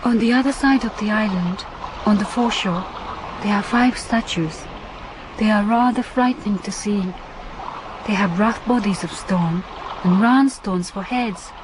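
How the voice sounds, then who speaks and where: A woman's voice: mid-range voice, normal speed, noisy background, natural voice. A woman playing an earnest, formal young island diver. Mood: grave, earnest. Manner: formal, careful, slightly stilted phrasing. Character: young Japanese island diving woman, earnest, practical, forthright. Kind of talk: radio drama acting.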